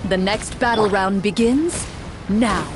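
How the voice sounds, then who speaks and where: An actress playing a game master, narrating a fantasy tabletop adventure in a storytelling style. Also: female voice, mid-range voice, takes it slowly, close to an everyday voice. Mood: announcing. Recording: background music under the voice.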